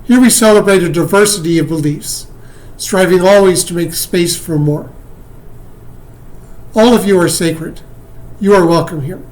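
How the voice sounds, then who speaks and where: High-voiced man welcoming a congregation. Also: moderate pace, pauses often, a little mellow, poor audio. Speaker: worship associate. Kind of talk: welcome and announcements.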